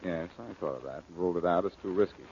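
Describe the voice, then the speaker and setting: An actor playing a glib, wisecracking American amateur detective in a 1940s radio drama, teasing and self-assured. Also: male voice, low-pitched, quick pace, darkish tone. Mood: assured, explaining. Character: cocky, wisecracking male amateur detective, ex-crook. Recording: muffled, noisy 1940s radio recording.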